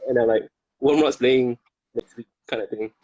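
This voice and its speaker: A man from Singapore with a Singaporean English accent, chatting as a guest, halting and repeating words. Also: medium pitch, fairly even intonation, mellow, no echo, muffled recording. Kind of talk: casual chat.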